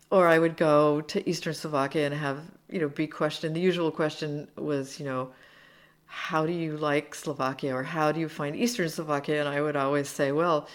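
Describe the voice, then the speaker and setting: A woman translator, speaking as an interview guest and telling animated, self-deprecating stories about learning languages, laughing easily. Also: low voice. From United States. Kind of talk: conversational storytelling.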